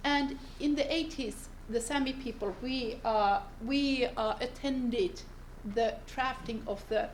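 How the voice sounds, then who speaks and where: A woman from northern Finland giving a seminar presentation, unhurried and pausing often to search for her words. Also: fairly high pitch, a little hiss or hum, low-quality recording. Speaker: seminar speaker, lawyer. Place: seminar room.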